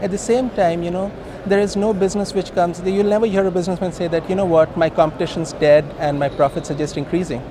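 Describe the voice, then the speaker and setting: A man with an Indian accent and a slightly high voice answers questions as a company founder in an informal, emphatic way. He is recorded at an event with clear background noise. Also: steady tone, fast, hardly any pauses. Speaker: company co-founder being interviewed. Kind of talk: conversational business interview. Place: busy hosting industry event. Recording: noisy event location, traffic-like ambient noise.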